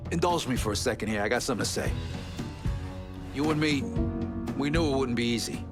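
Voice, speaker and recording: A man's voice: mid-range voice, animated, fairly quick, close to an everyday voice. Man acting a swaggering, foul-mouthed mobster, music behind. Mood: proud, sincere. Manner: profane, swaggering street talk. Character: brash, foul-mouthed middle-aged mobster right-hand man. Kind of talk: video game cutscene acting.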